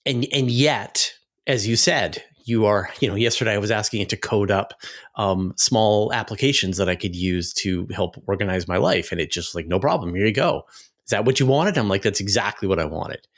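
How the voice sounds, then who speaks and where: A man's voice: on the low side, moderate pace. A man hosting an interview in a conversational style, searching for his phrasing as he goes. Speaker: interviewer, channel host.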